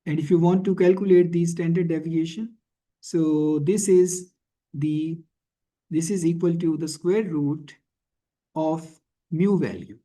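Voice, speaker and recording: A man teaching in a screencast tutorial, thinking aloud haltingly and often checking in with a questioning tag. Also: on the high side, steady tone, dark tone. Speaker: instructor. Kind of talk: screencast programming and statistics tutorial.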